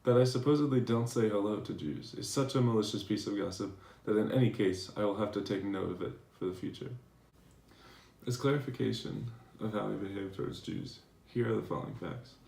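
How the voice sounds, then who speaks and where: A man playing a defensive, aggrieved professor in a dramatized letter reading, speaking in a formal, measured way. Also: on the low side, creaky, a little mellow. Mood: indignant, defensive. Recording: roomy, echoing recording.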